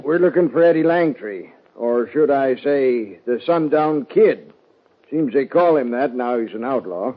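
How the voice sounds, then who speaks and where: Folksy sheriff on tinny radio. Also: male voice, mid-range voice, expressive. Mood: polite, businesslike. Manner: folksy drawled phrasing, deliberate. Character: folksy, suspicious, plain-spoken male western sheriff. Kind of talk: 1950s western radio drama acting. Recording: thin, narrow-band old radio recording.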